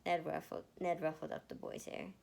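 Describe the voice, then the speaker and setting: A woman's voice: deep voice, level tone, normal speed, creaky, darkish tone. A woman narrating a story as an amateur reader, often stumbling and rereading phrases. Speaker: fanfiction reader. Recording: noticeable background noise.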